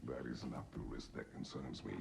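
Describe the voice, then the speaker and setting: An actor playing a stern, guarded warrior father in a game, with a gravelly voice and terse, formal speech. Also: very deep voice, steady tone, normal speed, noisy background, exaggerated voice. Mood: wary, grave. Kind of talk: video game cutscene acting.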